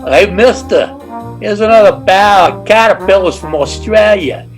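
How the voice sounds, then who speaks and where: A man's voice: high-pitched, moderate pace, soft background music. Man acting a plain-spoken radio-play deliveryman. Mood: businesslike.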